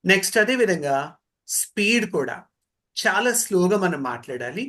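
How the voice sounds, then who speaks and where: A man with a Telugu accent giving an online training lecture as an instructor, speaking deliberately and mixing in English terms. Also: high-pitched, big pitch swings, sharp tone.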